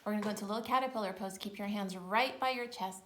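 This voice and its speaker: A woman teaching yoga storytime to young children, encouraging and playful, with expressive storytelling and hushed, breathy moments. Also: medium pitch, very fast. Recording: roomy echo with faint noise.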